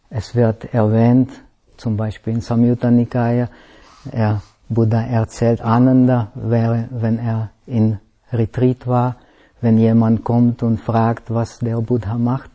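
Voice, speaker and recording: A male meditation teacher speaks very slowly in a language that is not his own. His tone is mellow and relaxed. He often stops to search for a word and starts a phrase over. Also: medium pitch. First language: not German. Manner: halting, searching for words, many pauses and self-corrections. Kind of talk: slow explanatory dharma talk.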